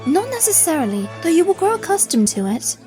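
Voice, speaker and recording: A woman's voice: extremely high pitch, very animated, fairly quick. A woman voicing a proper, composed and patient pony royal attendant in a cartoon drama. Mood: patient, instructive. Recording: music behind the voice.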